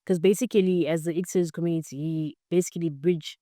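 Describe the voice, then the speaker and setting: A woman's voice: fairly low pitch, fairly even intonation, fairly quick, warm tone, dry recording, studio quality. A young woman in her twenties from Zambia, answering interview questions in an earnest, explanatory way with frequent "um" and "basically". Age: 20s. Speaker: podcast guest, computer science student.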